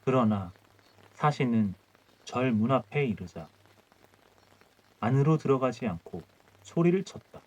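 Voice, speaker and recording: Male storyteller with very lively intonation. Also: mid-range voice, measured pace, long pauses, muffled recording. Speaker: storyteller, audiobook narrator. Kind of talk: scripted folktale narration.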